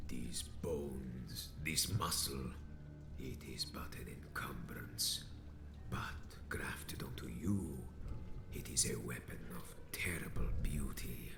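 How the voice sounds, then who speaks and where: A cavernously deep wounded vampire elder. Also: male voice, vocal fry, a crowd in the background, heavily acted. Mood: ominous, solemn. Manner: theatrical, archaic, slow pronouncements. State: wounded, labored breathing. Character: badly wounded monstrous male vampire elder, grave and vengeful. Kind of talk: dramatic video game acting. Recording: echoey, with loud music underneath. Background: music.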